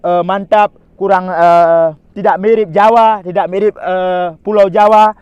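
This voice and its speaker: Acehnese-accented man, high voice, insistent speech-maker, dry close sound. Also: steady tone, unhurried, darkish tone, clear, resonant tone, no echo, highs cut off. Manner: earnest, insistent, repetitive rhetorical appeals. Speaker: former rebel fighter addressing followers. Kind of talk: impassioned political address.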